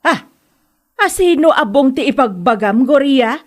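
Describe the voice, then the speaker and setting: A woman's voice: high-pitched, animated, a little slow. A woman playing a stern village woman who reproaches others in an Ilocano comedy drama, with an Ilocano accent and an admonishing manner. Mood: reproachful. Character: stern scolding village woman rebuking a gossip.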